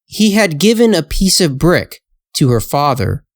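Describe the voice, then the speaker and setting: A man narrating a scripted fairytale for language learners, with careful, measured phrasing. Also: fairly high pitch, sing-song, no echo, clean studio sound. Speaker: storyteller.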